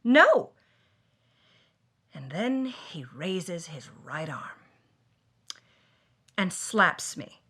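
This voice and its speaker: A woman's voice: medium pitch, bright, forceful tone, full character voice. A woman playing a defiant, wounded 1950s film starlet, telling her story in the first person with intense, theatrical emotion for an audio drama. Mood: tense, hurt. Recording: music behind the voice. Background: music.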